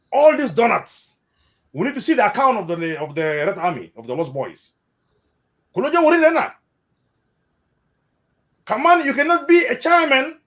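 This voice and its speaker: Animated South Sudanese man, high lively voice. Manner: animated, repeats words, mixes in Arabic phrases. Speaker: interviewee. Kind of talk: casual spoken commentary.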